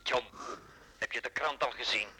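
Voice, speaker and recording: Telephone voice,, very bright tense tone, anxious submissive underling, apologetic, radio play. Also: male voice, medium pitch, moderate pace, breathy edge. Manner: apologetic, nervous. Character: anxious, submissive underling phoning his domineering boss.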